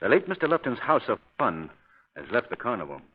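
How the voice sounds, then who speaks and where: A man acting a suave, assured crime-fighter in a 1930s radio drama, speaking in a measured, formal, probing way. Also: mid-range voice, wildly swooping pitch, slightly rough. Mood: thoughtful. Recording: muffled vintage 1930s radio recording.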